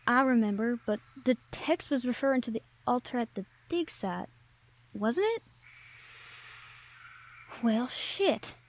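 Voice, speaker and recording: A woman playing a brash, brave, wry treasure hunter in an audio roleplay, bantering informally with the listener. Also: on the high side. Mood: tense, questioning. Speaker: voice actor.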